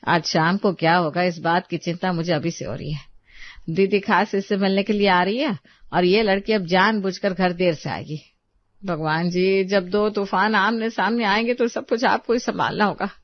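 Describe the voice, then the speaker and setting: A woman's voice: mid-range voice, fairly even intonation, moderate pace, dry recording, natural voice. A woman voicing an anxious mother who frets and mutters in a cartoon story, recorded with a dull sound. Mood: worried. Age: middle-aged. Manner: worried, muttering. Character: anxious, fretting mother of a headstrong daughter. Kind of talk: acted cartoon story dialogue.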